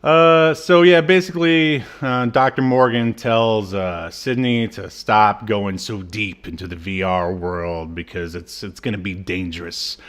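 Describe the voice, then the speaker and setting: A man with a lowish voice co-hosts a casual podcast. He talks through a show's story in loose, conversational style. His manner is irreverent and joking. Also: steady tone, unhurried, hardly any pauses, a bit bright. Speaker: podcast host. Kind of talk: casual recap chat about an old TV show.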